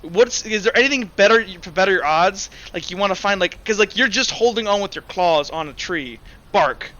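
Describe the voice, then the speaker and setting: Bright, high-voiced man running a game. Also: animated, fairly quick, highs cut off. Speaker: game master. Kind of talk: narrating and running a tabletop roleplaying game.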